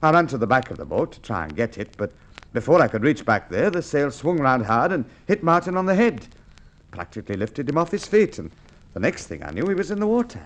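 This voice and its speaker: Man playing a smooth cold-blooded killer. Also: medium pitch, a little fast, lightly acted. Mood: feigned grief. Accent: Australian. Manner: calm, polite and menacing. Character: cold scheming male murderer, smooth and polite. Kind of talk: 1950s radio drama acting.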